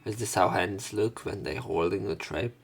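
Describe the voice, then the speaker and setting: A man's voice: sits low, moderate pace, gravelly, slightly rough, soft background music. A man hosting a let's play, reading a visual novel aloud theatrically and adding casual commentary.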